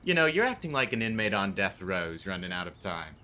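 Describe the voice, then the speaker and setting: A man's voice: low voice, very animated, a little fast, sharp tone, slight background noise, full character voice. A man acting an earnest, lonely policeman in a radio play. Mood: probing, earnest. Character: earnest lonely policeman, grieving his dead fiancée.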